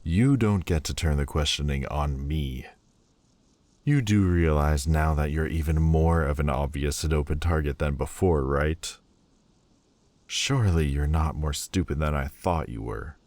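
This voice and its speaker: A man voicing a sullen, proud demon lord who speaks with slow, scornful deliberation in an audio roleplay. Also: extremely low pitch, a little mellow, no echo. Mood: bickering.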